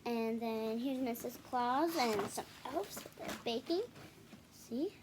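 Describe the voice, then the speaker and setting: A woman hosting a children's read-aloud video, reading a picture book with casual, halting, self-correcting asides to viewers. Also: fairly high pitch, background noise. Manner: halting, self-correcting, apologetic while showing pages.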